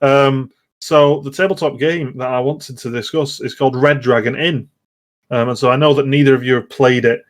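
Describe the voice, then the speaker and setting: A man chats casually as a podcast host about games, at a middling pitch. He rambles and hesitates, often repeating words as he finds his way through a sentence. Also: fairly even intonation, normal speed. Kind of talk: casual gaming podcast chat.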